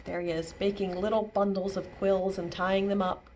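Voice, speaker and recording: A woman's voice: medium pitch, flat delivery, normal speed, a little mellow, a little hiss or hum. A woman reading a picture book aloud to young children as a storytime librarian, expressively, with simple asides explaining words and pictures.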